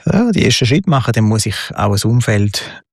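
Gravelly low-voiced Swiss German man. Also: sing-song, normal speed, darkish tone, dry recording, studio quality. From Switzerland. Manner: thoughtful, hesitant, many fillers. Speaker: guest. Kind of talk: personal life story interview.

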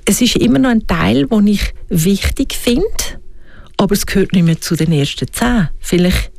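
A woman's voice: mid-range voice, expressive, normal speed, vocal fry, darkish tone, dry recording. A woman with a Swiss German accent talking in a reflective interview, often asking herself questions aloud. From Switzerland. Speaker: guest. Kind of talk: reflective conversation.

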